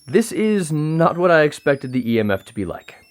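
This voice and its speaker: A woman playing a brisk, officious government agent with a dry, exasperated manner in an audio drama. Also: deep voice, big pitch swings. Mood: dismayed. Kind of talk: audio drama acting, briefing delivery.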